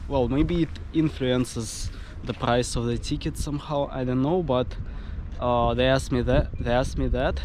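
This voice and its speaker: A young man in his twenties from Russia, speaking English with a Russian accent, talks to his camera in a casual travel vlog, halting and full of fillers and restarts. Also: mid-range voice, noisy background. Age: 20s. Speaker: travel vlogger. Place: sightseeing spots around a city, partly outdoors.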